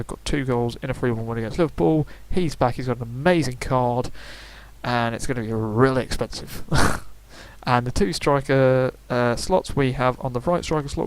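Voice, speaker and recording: A young man talks through his picks in a loose, rambling way. He fills gaps with "uh" and "um" and stops to correct himself. His voice sits at a middle pitch. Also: moderate pace, low-quality recording. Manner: chatty, rambling, frequent fillers and self-corrections. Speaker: gaming YouTuber. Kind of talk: casual predictions commentary.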